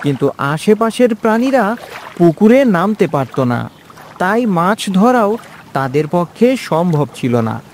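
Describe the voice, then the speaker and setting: A woman's voice: fairly low pitch, very animated, measured pace, a little mellow, a little hiss or hum. A woman narrating a scripted children's story as the storyteller.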